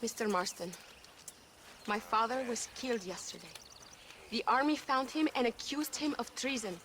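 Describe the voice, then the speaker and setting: A woman's voice: fairly high pitch, moderate pace, a bit bright, slight background noise, natural voice. An actress playing a passionate, earnest young Mexican woman revolutionary in a video game, speaking formally and emphatically with a Mexican accent. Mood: grieving, pleading. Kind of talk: dramatic video game cutscene acting.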